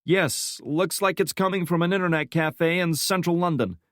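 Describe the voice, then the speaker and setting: A young male technician, as a narrator voices him, absorbed in his work and reporting in a raised, even tone. The recording is dry and close. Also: on the high side, normal speed, dry recording, pitched above the performer's own voice, natural voice. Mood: focused. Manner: intent, matter-of-fact. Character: young male tech analyst, focused.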